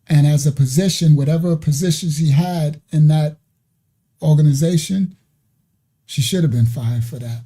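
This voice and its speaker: Husky dark-voiced host rambling over clips, keeps asking right?. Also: medium pitch, moderate pace. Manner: talks loosely, with repeated "right?" and "you understand?" asides. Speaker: online ministry host. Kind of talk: casual commentary over video clips.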